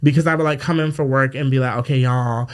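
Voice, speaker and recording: A man in his twenties from Japan hosting a podcast as a casual chat, telling stories in an animated, slangy, playful way. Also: medium pitch, fast. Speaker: podcast host.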